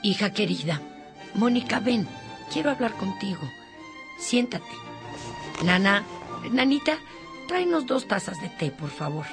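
A woman playing a gentle, dignified mother who speaks affectionately and instructively in a radio drama. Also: mid-range voice, very animated, unhurried, pauses often, over loud music. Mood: gentle, guiding. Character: gentle, dignified mother guiding her daughter.

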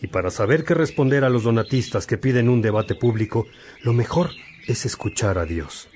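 A man portrays a devout leader welcoming fellow brothers in a radio drama. His pitch is average, with faint birdsong in the background. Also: expressive, moderate pace, darkish tone, a little hiss or hum, lightly acted.

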